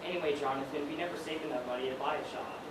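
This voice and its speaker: A man's voice: fairly high pitch, rapid-fire, recorded from a distance, natural voice. A teenage male student playing a nervous, doubtful young factory worker in a stage play, with anxious, exclamatory delivery. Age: teens. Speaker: student actor. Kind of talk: high school stage play acting. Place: stage in a large room, distant mic. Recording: echoey, noisy stage recording from afar.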